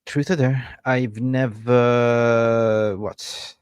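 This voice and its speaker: A man in his fifties from Sweden, co-hosting a casual chat, playful and quick to laugh. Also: medium pitch, little pitch movement, smooth, no echo, clean studio sound. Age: 50s. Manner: playful, laughs often, slips into jokey impressions. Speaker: co-host of a football fan show.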